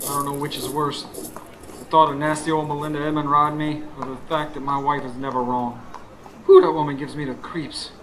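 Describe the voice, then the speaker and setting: A man's voice: a little high, flat delivery, fairly quick, close to an everyday voice. A man acting as a weary, anxious working husband in a radio drama. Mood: weary, musing. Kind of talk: radio drama acting with first-person narration. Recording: faint background noise, live stage.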